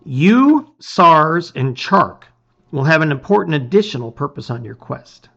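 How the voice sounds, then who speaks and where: A middle-aged man presenting and reading aloud with expressive emphasis, his phrases often trailing off softly. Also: a little high, a little slow, creaky, faint music behind. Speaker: host reading a gamebook aloud. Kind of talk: casual intro and dramatic read-aloud of storybook narration. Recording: slightly dull, lo-fi sound.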